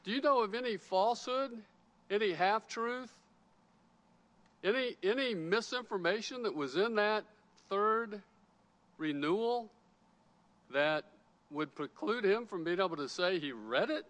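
A man's voice: sits high, lively intonation, unhurried, pauses often, fairly bright tone. A man questioning a witness at a hearing in a pressing, repetitive way. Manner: pressing, repeats his starts. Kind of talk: hearing cross-examination. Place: large hearing room. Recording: reverberant hearing room.

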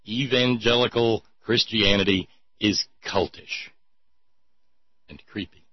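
A man's voice: lowish voice, steady tone, slow pace, slightly rough, dry recording, muffled recording. Sardonic male talk show host. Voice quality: sardonic, mocking. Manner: reads listener notes aloud, puts on mocking voices. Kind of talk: irreverent call-in talk show.

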